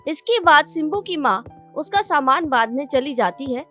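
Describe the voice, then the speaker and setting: High-pitched woman narrating a children's story. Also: lively intonation, normal speed, fairly bright tone. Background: background music.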